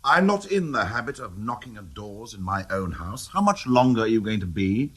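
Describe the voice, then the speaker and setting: Irritable British lord, raspy edge, lively intonation, imperious, radio crime drama. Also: male voice, lowish voice, moderate pace, exaggerated voice. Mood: impatient. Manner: curt, imperious. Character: haughty, irritable male English lord.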